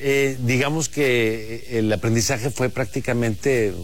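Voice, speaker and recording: A Mexican man hosting a radio show, interviewing a guest in a friendly, relaxed way with some hesitations. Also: mid-range voice, unhurried. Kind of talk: casual interview.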